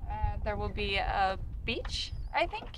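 A young woman talking casually to camera outdoors on a sailboat, with halting, hesitant phrasing. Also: mid-range voice, big pitch swings, fairly bright tone, slight background noise. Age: young adult. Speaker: sailing vlogger. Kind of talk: casual vlog talk to camera.

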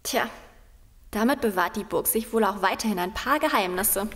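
A woman's voice: medium pitch, animated, normal speed, a bit bright, in a large hall, close to an everyday voice. A woman playing a sensible, matter-of-fact child detective in a children's radio play.

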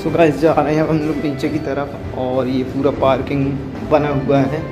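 A young man giving a casual walking tour of a mall at night, filmed on a handheld phone, with loud music playing behind him. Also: medium pitch, normal speed. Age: young adult. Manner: repetitive, even-toned tour commentary. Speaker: vlogger. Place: large indoor mall and parking at night. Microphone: handheld phone camera.

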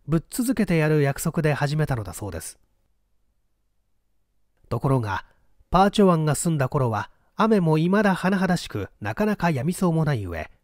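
Lively, dry-sounding male story narrator. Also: a little high, no echo. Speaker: audiobook narrator, small-theatre stage actor. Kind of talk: scripted narration, mystery novel read aloud.

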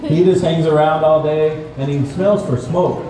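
A man's voice: fairly high pitch, steady tone, normal speed, a crowd in the background, lightly acted. Guy doing friendly puppet explaining safety stuff to kids, echoey, audience noise. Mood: friendly, instructive. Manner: instructive, asking leading questions. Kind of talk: live children's fire safety puppet show. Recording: echoey mall, distant mic, audience noise.

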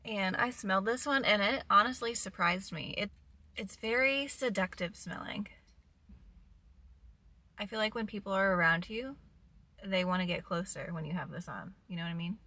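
A woman's voice: mid-range voice, moderate pace, pushed and bright, reverberant. Chatty young woman vlogging to camera. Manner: chatty, upbeat product and meal-prep talk. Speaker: lifestyle vlogger. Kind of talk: casual vlog chat to camera. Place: parked car, then home kitchen.

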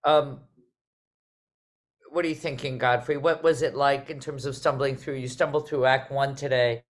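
A woman leads a casual discussion on a video call. She speaks conversationally as a moderator, in a voice of medium pitch. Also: fairly even intonation, normal speed. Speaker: panel moderator. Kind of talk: casual interview chat.

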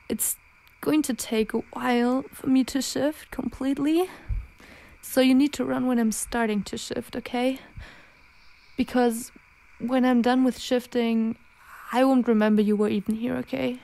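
A woman playing an anxious, gentle young werewolf in an intimate roleplay, speaking in a halting, pleading manner. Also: a little high, vocal fry. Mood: urgent pleading. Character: anxious, self-loathing young female werewolf, protective and gentle. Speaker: amateur roleplay voice actor. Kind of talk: intimate ASMR girlfriend roleplay.

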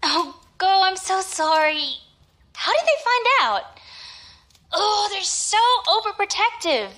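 Woman acting a very high-pitched earnest young maiden. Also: very animated, slow pace, sharp tone, exaggerated voice. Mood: earnest, apologetic. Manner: exclamatory, earnest. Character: earnest, sweet young swordsmith daughter. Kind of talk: audio drama acting.

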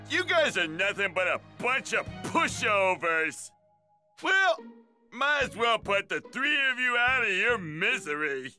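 A man's voice: high-pitched, very animated, pushed and bright, faint music behind, heavily acted. An actor playing a huge, cocky, bullying alien fighter in an anime game, taunting and showboating as he talks. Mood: smug taunting. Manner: taunting, showboating, drawn-out delivery.

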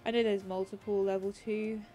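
A woman talks over her gameplay in a relaxed, meandering way, full of ums and restarts. Her voice is mellow and a little congested and raspy with a cold. Soft background music plays faintly under her voice. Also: mid-range voice, brisk. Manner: rambling, many ums and restarts. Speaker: gaming channel host. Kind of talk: casual gameplay commentary.

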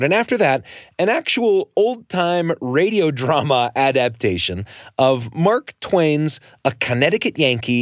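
American guy hosting a story show, really animated pitch, chatty and emphatic, dry close sound. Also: no room sound. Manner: emphatic, addresses listener with rhetorical questions. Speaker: radio show host. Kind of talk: storytelling radio introduction, conversational narration.

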